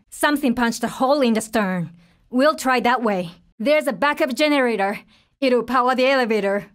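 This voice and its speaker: A woman's voice: a little high, natural voice. A woman playing a tough, determined young survivor of a zombie apocalypse in a video game, speaking in terse, urgent, businesslike lines. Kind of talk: video game voice lines, short dramatic callouts.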